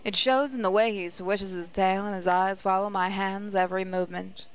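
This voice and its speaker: A woman's voice: medium pitch, steady tone, moderate pace, smooth, rough recording. A woman reading visual novel narration aloud in a reflective, storytelling way. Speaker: gaming channel host, reader.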